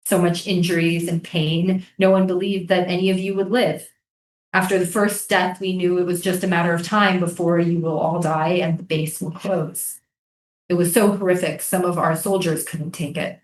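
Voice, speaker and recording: A woman playing a conflicted, defiant prison guard in a theatrical staged reading, earnest and measured. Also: on the low side, close to an everyday voice. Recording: echoey stage, distant microphone.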